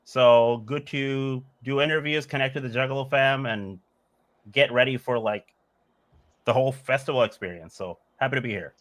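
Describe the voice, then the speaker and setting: A man in his forties from Bangladesh, speaking at a medium pitch for a man. He answers casual interview questions thoughtfully. He meanders, leaning on "like" and "uh". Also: moderate pace, fairly bright tone. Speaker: musician guest.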